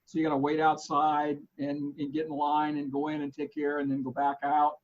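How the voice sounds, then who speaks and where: A hoarse man with a slightly raised voice talks through golf news in loose, rambling chat. He stops and restarts often and leans on fillers. The sound is a bit dull and lo-fi. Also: on the high side, completely flat delivery, normal speed. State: hoarse, losing his voice. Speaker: co-host of a golf news show. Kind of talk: casual chat.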